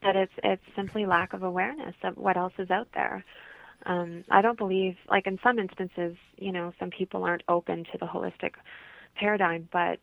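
A woman's voice: fairly low pitch, fairly even intonation, a little fast, creaky, dry recording. Woman on a tinny phone line. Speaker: interview guest, holistic healer. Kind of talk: conversational radio interview.

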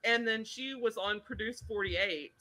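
A woman from the American South co-hosting a casual podcast, recalling things in a rambling, lively way. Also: fairly high pitch, measured pace, poor audio. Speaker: podcast co-host. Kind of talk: casual chat.

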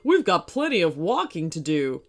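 A woman's voice: fairly low pitch, very animated, normal speed, faint music behind. Woman putting on an easygoing, encouraging storybook dad.

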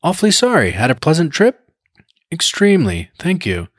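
A man reading aloud, voicing a flustered, chatty young gentleman who babbles in a hearty, nervous way. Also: a little high, huge pitch swings, normal speed, husky, dry recording, clean studio sound, pitched above the performer's own voice, full character voice.

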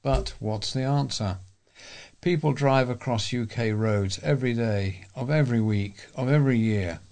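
A British man reading local news and letters aloud as a volunteer, in a measured way. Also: medium pitch, a little mellow.

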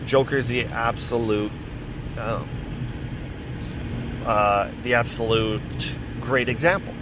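A man's voice: mid-range voice, slow. A man hosting a casual solo podcast, rambling and correcting himself as he chats. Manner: rambling, self-correcting, chatty. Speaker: podcast host. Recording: steady background noise.

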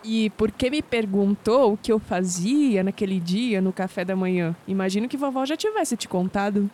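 Uma atriz brasileira interpretando uma jovem cética, curiosa e sarcástica numa áudio série, em tom questionador. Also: female voice, mid-range voice, expressive, moderate pace, mellow, natural voice. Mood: wry, challenging. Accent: Brazilian. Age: young adult. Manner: wry, questioning. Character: skeptical, sharp-witted young woman, curious and sarcastic. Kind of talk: audio drama dialogue.

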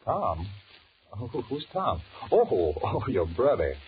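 An actor with an American accent playing a cowardly, irritable cheating husband in a 1940s radio drama, switching between muttered thoughts and halting sweet talk. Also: mid-range voice, very animated. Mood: nervous, evasive. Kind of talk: 1940s radio horror acting with inner monologue. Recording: muffled, noisy 1940s radio recording.

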